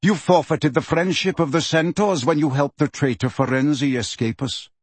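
A man voicing a proud male centaur leader who speaks in a measured, smooth, stern way. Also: a little high, dry recording.

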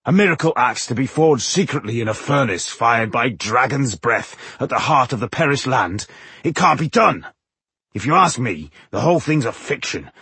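A man voicing a scornful, sceptical male dwarf in a fantasy audiobook, husky, with a sneering and dismissive delivery. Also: medium pitch, a bit bright, no echo, full character voice.

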